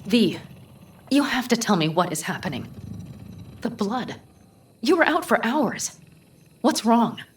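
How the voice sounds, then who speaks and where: A woman's voice: a little high, sing-song, measured pace, pauses often, a little hiss or hum. An actress playing a blunt, concerned young nomad woman in a video game, speaking earnestly in formal, uncontracted phrasing. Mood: worried, frustrated. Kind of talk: naturalistic video game acting.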